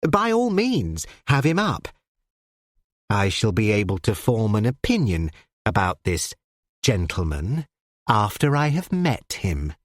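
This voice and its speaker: A reader voicing a cool, sardonic elderly male judge who speaks in precise, measured phrases. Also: mid-range voice, wildly swooping pitch, no echo, clean studio sound.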